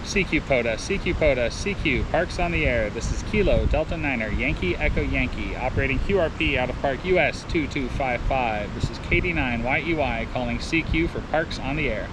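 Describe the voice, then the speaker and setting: A man's voice: medium pitch, lively intonation, a little fast, few pauses. An American man working as an amateur radio operator outdoors, calling out call signs in phonetic alphabet and giving signal reports in procedural radio phrasing. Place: outdoors in a park. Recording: outdoor recording with clear vehicle-like background noise.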